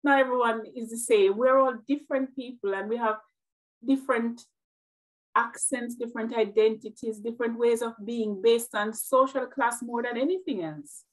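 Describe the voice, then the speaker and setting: A woman's voice: a little high, fairly even intonation, moderate pace, low-quality recording. A middle-aged woman from Jamaica with a Jamaican accent, speaking earnestly and reflectively as an interview guest, often repeating words as she thinks. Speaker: guest, nurse. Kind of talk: interview conversation.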